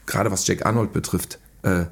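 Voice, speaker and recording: A man chatting casually about film as a podcast guest, retelling things in an animated way. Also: deep voice, fairly quick, gravelly, breathy edge.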